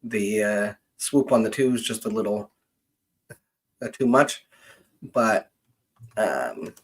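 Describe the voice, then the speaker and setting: A man with a fairly low voice talks very slowly and casually, like a host thinking aloud on a live stream. He is hesitant and halting, often leaving sentences hanging as he works through his explanation. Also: very animated, breathy edge. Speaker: genealogist hosting a live question session.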